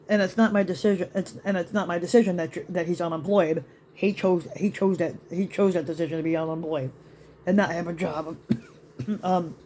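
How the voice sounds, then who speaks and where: Slightly raspy man ranting, high voice. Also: a little mellow, slight background noise. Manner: rambling, many restarts and ums, profane. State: slightly raspy voice after being sick. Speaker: YouTube vlogger. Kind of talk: rambling rant to camera.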